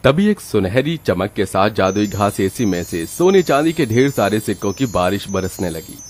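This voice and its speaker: A man's voice: lowish voice, sing-song, moderate pace, a little mellow, a little hiss or hum. A man narrating a scripted moral story as its storyteller.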